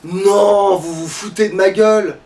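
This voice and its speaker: A man's voice: high voice, fairly even intonation, unhurried, darkish tone. A man chatting casually as he plays a video game, slangy and prone to grumbling. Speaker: let's play player and commentator. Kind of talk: casual gaming commentary chat. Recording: distant mic, faint background noise.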